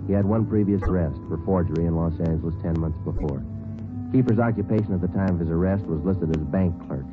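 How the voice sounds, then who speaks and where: A very deep-voiced, quick-talking American detective, played by a male actor, terse and deadpan. He lays out facts methodically in clipped radio-drama style. The sound is a tinny old radio recording with music behind. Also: natural voice. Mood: matter-of-fact. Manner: deadpan, matter-of-fact, clipped. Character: terse, methodical male police detective who narrates his case. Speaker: lead actor and narrator of a police radio drama. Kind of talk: 1940s radio acting, clipped factual narration.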